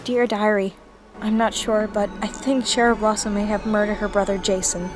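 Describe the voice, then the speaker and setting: A woman's voice: mid-range voice, little pitch movement, normal speed, lightly acted. An actress playing an earnest, guarded teenage girl who confides in her diary and bristles when challenged, in a radio drama. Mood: confiding. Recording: music under the dialogue.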